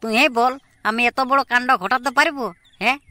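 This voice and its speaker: A woman's voice: sits high, steady tone, brisk, very noisy, lightly acted. A woman voicing an indignant young village son in a cartoon comedy, arguing insistently in a Purulia Bengali accent. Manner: argumentative, repeatedly demanding agreement. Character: hot-headed, indignant young village son wanting marriage. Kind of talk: amateur cartoon comedy dialogue.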